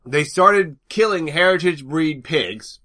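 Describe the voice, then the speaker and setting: Rambling man with a bright voice. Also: on the high side, animated. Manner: rambling, halting with many restarts. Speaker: podcast co-host. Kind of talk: casual podcast chat.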